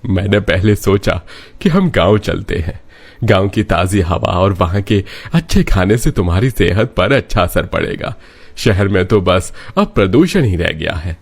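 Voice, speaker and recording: A man voicing a gentle, concerned elderly father-in-law in a cartoon story, earnest in manner. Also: low-pitched, lively intonation, measured pace, gravelly, slightly rough, exaggerated voice. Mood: persuading.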